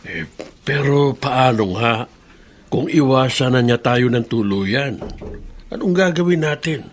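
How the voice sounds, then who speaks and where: A man's voice: medium pitch, animated, measured pace, a little hiss or hum, lightly acted. A man playing a worried older father in a radio drama, who frets aloud about his son's troubles. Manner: fretful, anxious.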